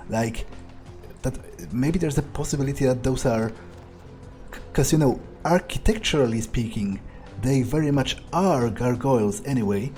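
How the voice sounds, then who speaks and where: A man playing a video game in character, thinking aloud in an unhurried, musing way with trailing pauses. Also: medium pitch, dark tone. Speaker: gameplay streamer playing in character.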